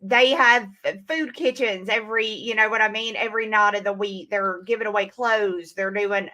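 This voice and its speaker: A woman's voice: mid-range voice, steady tone, moderate pace, bright, forceful tone. A young woman with a Southern American accent, chatting casually as a guest and laughing often. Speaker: comic creator guest. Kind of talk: casual conversation.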